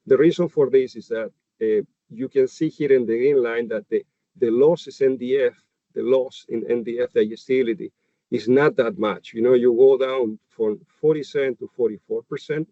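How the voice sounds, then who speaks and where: A mid-pitched man from Chile with a clear Chilean Spanish accent walks through a technical webinar on dull, dry audio. He hesitates often, restarting phrases as he explains each point. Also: no echo. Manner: hesitant, many fillers and restarts while explaining slides. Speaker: livestock nutritionist. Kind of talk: webinar presentation.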